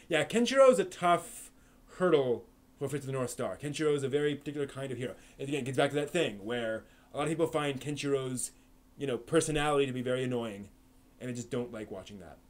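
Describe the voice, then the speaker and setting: A man's voice: medium pitch, expressive, fast talker. A man hosting a live stream, chatting casually and answering viewer questions, with lots of fillers and restarts. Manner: rambling, frequent fillers and restarts.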